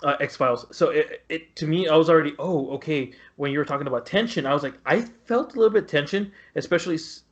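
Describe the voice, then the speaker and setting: Halting man chatting, slightly muffled. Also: a little high. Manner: halting, repeats words as he searches. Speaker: podcast panelist reviewing films. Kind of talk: casual chat.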